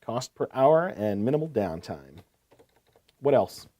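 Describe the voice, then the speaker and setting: A man's voice: medium pitch, very animated, measured pace, mellow. A man playing a courteous, attentive equipment salesman in a scripted business phone call, asking probing questions. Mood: attentive, reassuring. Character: courteous, eager male heavy-equipment salesman.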